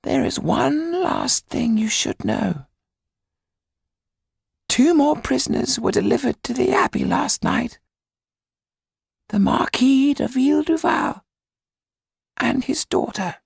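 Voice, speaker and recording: A grave storybook informant, high croaky rasp, slow and swoopy. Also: male voice, expressive, no echo, studio quality, full character voice. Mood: grave, urgent. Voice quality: high, raspy, creaky. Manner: slow, deliberate delivery.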